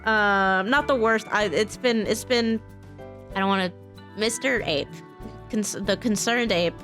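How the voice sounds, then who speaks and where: A woman talking casually in a vlog announcement, informal and halting, often restarting her sentences. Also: mid-range voice, a bit bright, soft background music. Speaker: voice actor, software engineer and game modder.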